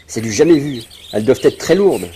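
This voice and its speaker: Male actor as threatening brigand chief, mocking, bossy, mid-pitched, animated film dub, faint background noise. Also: a little fast, lightly acted. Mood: commanding. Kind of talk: French-dubbed cartoon villain acting. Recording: faint noise, birdsong behind.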